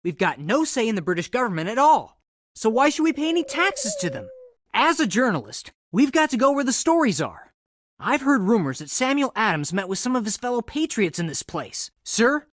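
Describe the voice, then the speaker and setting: An actor playing an earnest, eager young colonial American reporter in a game, speaking clearly in an explanatory manner. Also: male voice, high voice, sing-song, normal speed, a bit bright, no room sound, clean studio sound. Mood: earnest, informative. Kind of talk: scripted game character dialogue.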